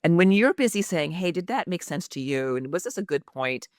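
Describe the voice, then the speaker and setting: A woman's voice: fairly low pitch, expressive, brisk, dry recording. Woman, communication coach, reflective, earnest, dry studio sound. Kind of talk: interview guest, conversational.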